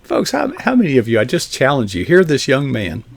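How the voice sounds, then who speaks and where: A man's voice: medium pitch, wildly swooping pitch, brisk, gravelly, no room sound. An older man hosting a live online interview, folksy and encouraging, asking rambling questions. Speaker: show host.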